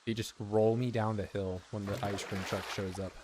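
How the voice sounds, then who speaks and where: A man's voice: fairly low pitch, flat delivery, moderate pace, background noise. A young man streaming a survival game, rambling and joking with his chat in a casual way. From United States. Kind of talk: casual gameplay commentary.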